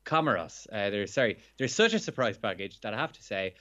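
An Irish man, a sports journalist, chatting as a radio guest, slightly croaky and hungover, with quick laughs and restarts. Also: lowish voice, big pitch swings, a bit bright, muffled recording. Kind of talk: radio phone-in chat.

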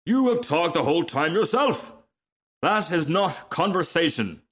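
A man's voice: mid-range voice, sing-song, unhurried, a bit bright, pitched above the performer's own voice. A man voicing a vain, easily offended firework rocket in a storybook, speaking in a haughty, formal, self-important manner. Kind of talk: storybook character reading.

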